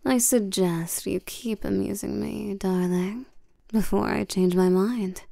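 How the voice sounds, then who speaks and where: A woman playing a seductive, commanding vampire queen in an intimate roleplay audio, soft and breathy, teasing and condescending, often sinking toward a whisper. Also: mid-range voice, steady tone, no room sound, studio quality. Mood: amused, commanding. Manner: slow, teasing, domineering, with terms of endearment.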